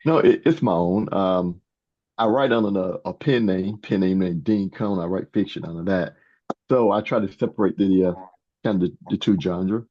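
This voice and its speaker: An older man in his sixties or beyond, answering interview questions as a guest author in a halting way, often repeating words. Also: low voice. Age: 60s or older. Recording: dull, muffled lo-fi sound.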